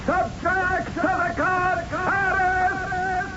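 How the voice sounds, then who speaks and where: Very high, slow ceremonial herald. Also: male voice, flat delivery, heavily acted. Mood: solemn, proclaiming. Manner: solemn, declamatory, ceremonial. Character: ancient herald calling out to worshippers of a god. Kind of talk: 1970s radio drama acting. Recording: muffled 1970s radio recording, music behind.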